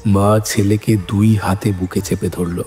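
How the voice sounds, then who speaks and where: Guy narrating a classic story, deep-ish mellow voice, measured, soft music behind. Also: little pitch movement. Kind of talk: scripted literary narration of a classic Bengali story.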